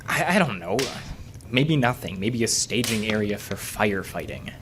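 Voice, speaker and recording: An actor playing a cautious, skeptical park service man in a naturalistic horror audio drama, hesitant and gently scolding. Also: on the low side, lively intonation, moderate pace, background noise, natural voice. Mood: cautious, mildly scolding.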